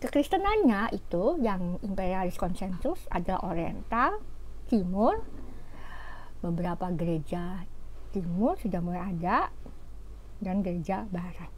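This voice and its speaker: Lively woman explaining scripture. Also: on the low side, unhurried, pauses often, slight background noise, low-quality recording. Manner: explanatory, animated, with fillers and rhetorical questions. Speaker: religious teacher. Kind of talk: informal religious talk.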